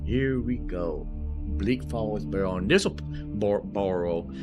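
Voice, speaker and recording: A man's voice: on the low side, slow, over music. A man giving casual let's-play commentary on a video game, thinking aloud as he plays. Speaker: gamer hosting a let's-play series.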